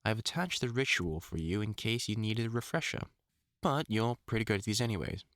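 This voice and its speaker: A man plays a seasoned vampire hunter in an intimate audio drama, middle-aged, wry and protective. The delivery is lively and varied, with a bright, forceful edge. It is recorded very dry and close, with informal muttered asides. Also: on the low side, brisk, no room sound. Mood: reading aloud, measured. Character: gruff, caring, experienced male vampire hunter, wry and teasing. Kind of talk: intimate audio roleplay acting.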